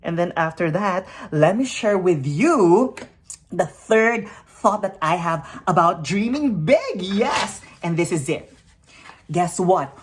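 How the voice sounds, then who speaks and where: Upbeat woman from the Philippines, lower voice. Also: expressive, takes it slowly, slight background noise. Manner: upbeat, hyper, peppy asides like "you know what?" and "yes!". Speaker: makeup vlogger. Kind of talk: makeup tutorial with motivational talk.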